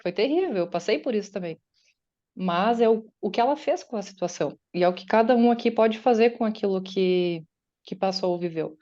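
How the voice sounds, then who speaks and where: A woman's voice: mid-range voice, expressive, a little fast, a little mellow, lo-fi sound. A woman giving a conversational, faith-based motivational talk on an online call, often acting out her listeners' doubts. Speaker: guest speaker and writer. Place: online meeting. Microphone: video call.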